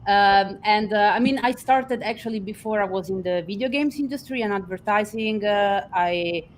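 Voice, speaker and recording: Italian-accented woman guest, mid pitch, halting but cheerful; faint rumble in the line. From Italy. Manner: hesitant, many fillers, cheerful. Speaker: guest, head of texturing. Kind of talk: panel self-introduction. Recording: faint noise with low rumble.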